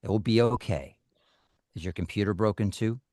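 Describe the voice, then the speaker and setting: A man's voice: lowish voice, normal speed, mellow, no room sound, clean studio sound, lightly acted. A man playing a cold, controlling kidnapper in a screenplay table read, terse and commanding. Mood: falsely soothing. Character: cold, controlling male kidnapper calling his victim "Mother".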